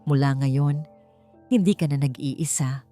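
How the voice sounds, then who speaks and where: A woman's voice: sits low, a little slow, mellow, voice pushed down. A devoted Filipino father, played by a woman narrator speaks firmly and earnestly in an audiobook story. He sounds determined and protective, with music underneath. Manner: firm, earnest.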